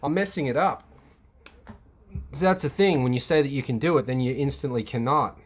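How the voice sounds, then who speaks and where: A man's voice: mid-range voice, fairly quick. A man talks casually to his live stream, reading out chat comments and answering them. He rambles and restarts often, filling gaps with "um" while sorting out his thoughts. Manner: rambling, many fillers and restarts; reads and replies to chat comments. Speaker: livestream host answering chat.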